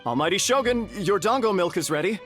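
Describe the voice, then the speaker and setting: A man's voice: high-pitched, lively intonation, brisk, a bit bright, soft background music. A man playing a polite, deferential food stall vendor in a video game. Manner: polite, servile.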